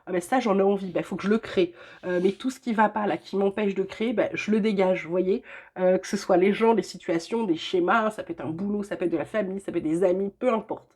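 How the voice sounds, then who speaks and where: A woman's voice: mid-range voice, fast talker, darkish tone. Chatty older woman with a cold. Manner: chatty, reassuring, many hesitations. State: has a cold. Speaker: tarot reader. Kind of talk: weekly tarot guidance. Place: at home.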